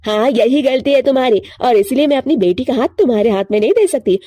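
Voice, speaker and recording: A woman voicing a proud, disapproving mother bird who talks in a haughty, scolding way in an animated tale. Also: a little high, fast, no room sound.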